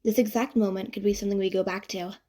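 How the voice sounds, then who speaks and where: Woman, audiobook narrator, medium pitch, expressive, conversational, playful emphasis, scripted fiction reading. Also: quick pace.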